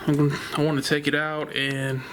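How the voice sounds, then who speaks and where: A man vlogging casually at home, rambling in a relaxed way and talking quietly instead of projecting. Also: a little high, a little fast. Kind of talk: casual home vlog chat. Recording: noticeable background noise.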